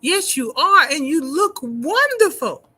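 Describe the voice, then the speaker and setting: A woman hosting a talk show, asking questions in a warm, encouraging manner. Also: high-pitched, very animated, slow. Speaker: host. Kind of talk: interview conversation.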